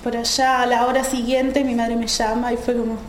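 A young woman with a Uruguayan accent, a student volunteer telling her story in a radio interview, halting with many fillers and restarts. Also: fairly high pitch, little pitch movement, roomy sound, a little hiss or hum. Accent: Uruguayan Spanish. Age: young adult.

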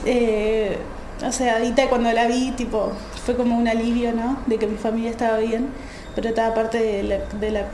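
A young woman with a Uruguayan accent, a student volunteer telling her story in a radio interview, halting with many fillers and restarts. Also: fairly high pitch, flat delivery, darkish tone, echoey room, a little hiss or hum, poor audio. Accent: Uruguayan Spanish. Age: young adult.

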